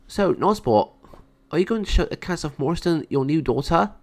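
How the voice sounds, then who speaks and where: Young male storybook cat hero, earnest and friendly, animated intonation male reader performing. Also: fairly high pitch, fairly quick, voice pushed up. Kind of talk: children's storybook read-aloud.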